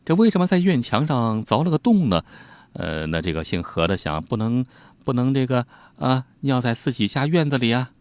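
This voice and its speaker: Male storyteller, colloquial and animated, teasing asides, very dry close sound. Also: medium pitch, moderate pace, dry recording. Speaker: storyteller, host. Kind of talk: informal storytelling narration of old ghost tales.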